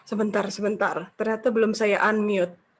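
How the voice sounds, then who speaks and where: A woman's voice: mid-range voice, fairly even intonation, moderate pace. A woman moderating an online seminar on a video call, upbeat and joking with the audience.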